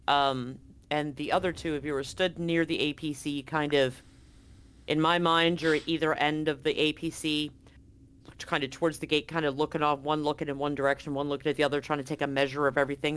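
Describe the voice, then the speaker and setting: A game master with a clear, smooth voice in a middling register runs a tabletop roleplaying game. The delivery is informal and conversational, working out the scene aloud. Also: medium pitch, fairly bright tone. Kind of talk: narrating a live-streamed tabletop roleplaying game.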